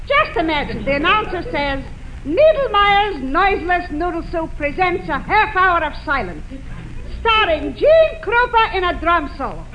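A woman's voice: very high-pitched, very animated, normal speed. An actress playing an opinionated, quirky married woman with a Yiddish accent and emphatic, quaintly formal phrasing in 1940s radio comedy. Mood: dry, emphatic. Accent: Yiddish-inflected English. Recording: faintly noisy vintage radio recording.

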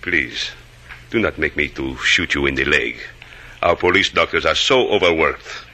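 A drily menacing, tired police inspector from a 1940s radio adventure. He speaks formal, foreign-phrased English with a Spanish accent. The voice is deep, with a hard, forceful edge. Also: moderate pace, heavily acted. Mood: wearily threatening.

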